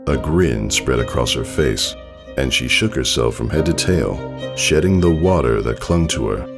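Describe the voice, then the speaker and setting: A man narrating a scripted story dramatically, in a solemn and measured manner. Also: extremely low pitch, expressive. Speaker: fan fiction narrator. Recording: soft music behind the voice.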